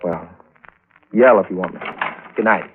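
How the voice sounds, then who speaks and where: Low-voiced watchful husband, tinny radio. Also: male voice, unhurried. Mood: concerned. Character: concerned but controlling husband, quietly watchful and suspicious. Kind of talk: 1940s radio thriller acting. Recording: tinny, hissy vintage radio recording.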